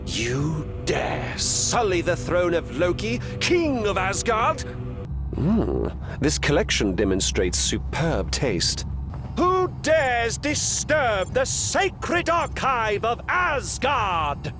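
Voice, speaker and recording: A man's voice: on the high side, huge pitch swings, slow pace, music in the background, exaggerated voice. An actor playing a vain, gloating trickster god who rules as a usurper king in a video game, with a pompous, theatrical delivery. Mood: smug. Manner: pompous, theatrical, self-praising. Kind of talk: video game character lines.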